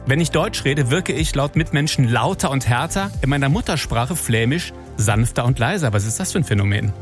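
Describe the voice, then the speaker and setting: A man's voice: mid-range voice, brisk, faint music behind. A man hosting a morning radio show, reading traffic and chatting casually. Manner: relaxed, chatty.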